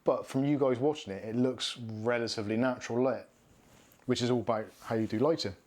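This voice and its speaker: A man's voice: medium pitch, fairly quick, a little mellow. A man in his thirties from southwest England with a Bristolian accent, hosting a casual live Q&A and answering viewer comments. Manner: chatty, reads out viewer comments and answers them. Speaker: live stream host. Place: home studio room with shelves and neon signs.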